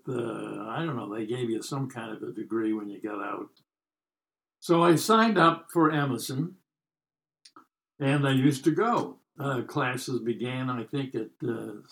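Man in his nineties, slow-talking American storyteller. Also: mid-range voice, gravelly. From United States. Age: 90s. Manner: wry, joking, rambling. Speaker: podcast guest telling life stories. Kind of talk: casual storytelling.

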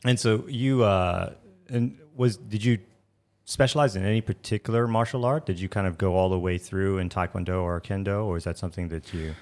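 A man with a fairly low voice interviews his guest. He stops and restarts his questions, searching for the right words. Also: steady tone, darkish tone. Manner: halting, rephrasing questions.

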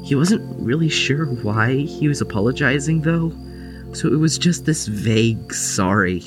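A man playing a guarded, anxious young patient in an audio drama, with a halting, reserved manner. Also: medium pitch, expressive, close to an everyday voice. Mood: wry, reflective. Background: music.

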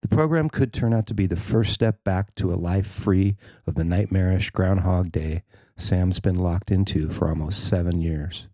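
A man's voice: low-pitched, normal speed, warm tone, no echo. A man narrating a scripted news feature as its writer and reader.

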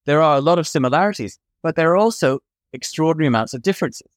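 A man's voice: a little high, animated, rapid-fire, no echo, clean studio sound. A man appearing as a podcast guest, talking in an expansive, enthusiastic, rambling way. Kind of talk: casual interview chat.

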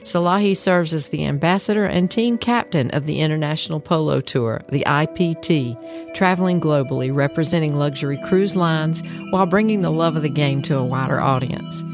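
Low-voiced woman hosting a podcast. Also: a little fast, over music. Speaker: podcast host. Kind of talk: scripted introduction and interview questions.

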